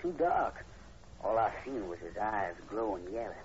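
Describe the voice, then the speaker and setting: A man's voice: on the low side, very animated, normal speed. An actor playing a timid, superstitious farm hired man with a rural Southern American accent in a 1940s radio horror play. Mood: wary, recounting. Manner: folksy, rustic, hesitant. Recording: muffled, noisy 1940s radio recording.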